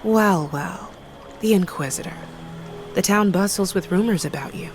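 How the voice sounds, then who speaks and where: An actress playing a countess's cold, haughty servant in a medieval video game, blunt and formal. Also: female voice, medium pitch, normal speed, music in the background, close to an everyday voice. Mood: cool, haughty. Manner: aloof, formal.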